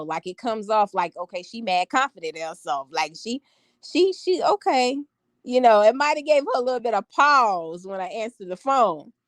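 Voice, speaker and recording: A woman's voice: a little high, fairly bright tone, no room sound. Sassy, emphatic woman on a dry phone mic. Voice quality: strong, confident voice. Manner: emphatic, sassy, repeats phrases for effect. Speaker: vlogger talking to live viewers. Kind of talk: casual storytelling chat.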